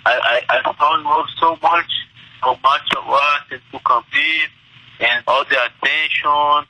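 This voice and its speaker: A young Brazilian man, a native Portuguese speaker, answers interview questions in accented English, halting and restarting as he goes. His voice comes through very bright and sharp over a phone-like line, with a completely dry sound and no room around it. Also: fairly high pitch, steady tone, no echo. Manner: halting, restarts in second language. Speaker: interview guest, MMA fighter.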